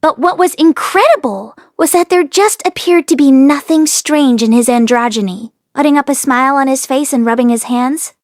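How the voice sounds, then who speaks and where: A woman narrates a novel in a fairly high voice, reading from the text as an audiobook reader. The sound is very dry, with hardly any room around it. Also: moderate pace, few pauses, dry recording.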